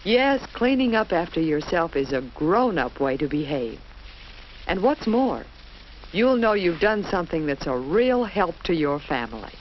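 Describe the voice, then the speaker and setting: A woman's voice: fairly low pitch, big pitch swings, a little slow. An American woman narrating a 1950s educational film for children in a didactic, storytelling way, with simple phrases and questions to young listeners. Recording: dull, noisy vintage 1950s film soundtrack.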